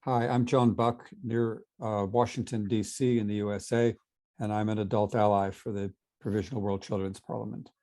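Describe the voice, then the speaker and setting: A man introduces himself on a video call. His voice is mellow and on the low side. He keeps an even tone throughout. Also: normal speed. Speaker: adult ally of a youth group.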